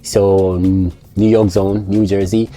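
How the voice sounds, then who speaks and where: A man's voice: deep voice, level tone, slow, warm tone, soft background music. A man vlogging about his trip, recounting it animatedly with many restarts and self-corrections. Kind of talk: casual travel storytelling.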